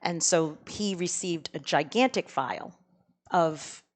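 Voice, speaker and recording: A woman's voice: lowish voice, slow. A woman with a Boston accent, an audiobook narrator explaining her recording and editing work in a casual podcast chat.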